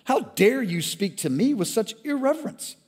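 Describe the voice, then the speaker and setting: A man voicing a proud, desperate young man who shouts in shrill indignation. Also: big pitch swings, moderate pace, slightly rough, long reverb tail, heavily acted. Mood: indignant. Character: proud desperate young man, a guilt-driven path builder.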